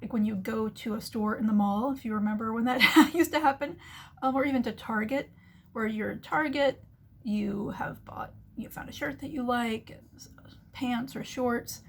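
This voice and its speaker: A woman's voice: on the high side, moderate pace, breathy edge. A woman giving casual, chatty explanatory business commentary as the host, acting out little imagined remarks as she goes. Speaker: host of a marketing commentary show.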